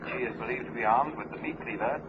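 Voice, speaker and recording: An actor playing a formal, urgent radio newsreader giving a police warning in an old-time radio drama. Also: male voice, medium pitch, fast, natural voice. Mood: grave, urgent. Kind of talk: old-time radio news bulletin acting. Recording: muffled, noisy vintage radio recording.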